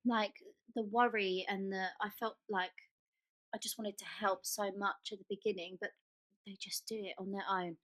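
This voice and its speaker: A woman's voice: mid-range voice, steady tone, normal speed, fairly bright tone, dry recording. A woman co-hosting a podcast in casual chat, gushing and emphatic, often repeating herself for stress. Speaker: podcast co-host.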